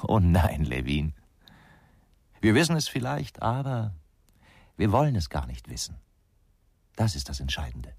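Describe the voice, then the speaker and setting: A low-voiced man as a blunt ex-cop in a radio crime play. His speech is terse and needling, with big swings in pitch, in a very dry studio sound. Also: sing-song, a little slow, creaky, pauses often, darkish tone, husky, no echo, heavily acted. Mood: needling, insistent. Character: gruff, blunt male ex-policeman investigator, provoking and dismissive. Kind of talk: German radio crime drama acting.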